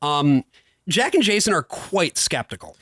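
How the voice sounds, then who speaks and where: Guy on a comedy podcast, kinda higher voice, wry and explainy, super dry sound. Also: sing-song, measured pace, fairly bright tone, no room sound. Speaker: podcast co-host. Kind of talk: casual comedic podcast recap.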